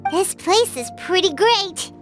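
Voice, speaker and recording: Super high squeaky little fairy sidekick for a game, bubbly, bouncy pitch, music behind. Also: female voice, animated, takes it slowly, full character voice. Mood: encouraging. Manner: bubbly, refers to itself in third person. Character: tiny childlike floating fairy companion, cheerful and curious. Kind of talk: video game character acting. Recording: background music behind dialogue.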